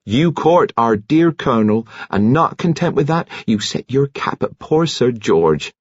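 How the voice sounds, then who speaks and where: A man's voice: medium pitch, sing-song, moderate pace, husky, no room sound, highs cut off, pitched above the performer's own voice. A man voicing a prim, moralising, indignant woman nurse in a genteel scolding manner, as an audiobook character.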